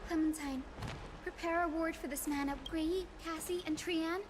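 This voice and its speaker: Gentle caring young healer. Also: female voice, very high voice, steady tone, normal speed, background noise. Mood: concerned. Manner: soft, caring and earnest. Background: game music.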